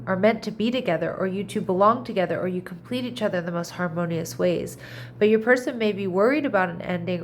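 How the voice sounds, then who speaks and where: A woman with a mid-pitched, slightly congested voice reads tarot cards in a relaxed, chatty way. She reassures the listener and often restarts her sentences as she thinks out loud. A faint hiss sits behind her voice. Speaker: tarot reader. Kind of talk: casual tarot reading to camera.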